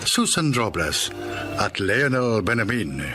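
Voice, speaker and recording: A man announcing radio drama credits in a formal, dramatic, measured way. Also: lowish voice, big pitch swings, music in the background.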